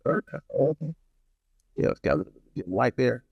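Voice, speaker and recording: A man's voice: mid-range voice, expressive, brisk, creaky, slightly rough, dry recording, muffled recording. A man talking casually to viewers in a rambling, blunt product review, often repeating phrases for emphasis. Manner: opinionated, blunt.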